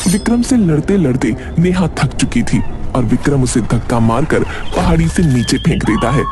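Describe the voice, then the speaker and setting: A man narrating a dramatic cartoon story in a steady way, with background music. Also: mid-range voice.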